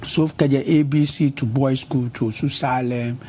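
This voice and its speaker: Ghanaian man with a mellow voice. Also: mid-range voice, normal speed, slight background noise. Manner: switches between English and Ga, lists places at an even pace. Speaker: interview guest, politician. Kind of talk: conversational interview.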